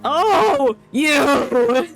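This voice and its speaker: A woman's voice: high-pitched, animated, very slow. A woman streaming a game, giving casual, playful and emotive live reactions to the story. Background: game music.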